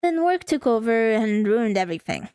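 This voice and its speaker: A woman's voice: fairly high pitch, sing-song, normal speed, mellow, clean tone, no room sound, clean studio sound. A woman streaming a visual novel, reading the text aloud and breaking into playful, casual asides. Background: faint music.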